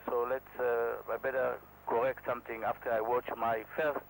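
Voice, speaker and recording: An Israeli helicopter instructor speaks to camera in halting, non-native English with a modest, self-deprecating manner. His voice sits at an ordinary middle pitch, and a faint noise runs under it. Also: little pitch movement, gravelly, poor audio. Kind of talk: candid talk to camera.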